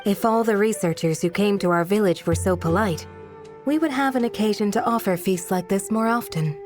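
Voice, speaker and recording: A woman voicing a polite, motherly village guardian with a measured, gently admonishing manner in a video game. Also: medium pitch, music in the background, natural voice. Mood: gracious. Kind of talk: video game cutscene acting.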